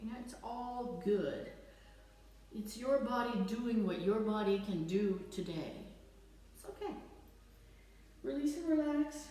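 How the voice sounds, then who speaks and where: A woman fitness instructor with a slight Dutch accent, leading a guided stretching class with gentle, encouraging cues. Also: a little high, animated, unhurried, pauses often, distant mic. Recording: echoey room, voice off-mic.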